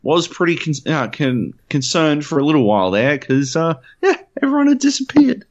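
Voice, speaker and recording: Profane, animated Australian man spinning a yarn. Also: on the high side, normal speed, dull audio. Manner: animated, profane storytelling with many fillers. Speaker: podcast co-host. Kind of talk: casual podcast chat.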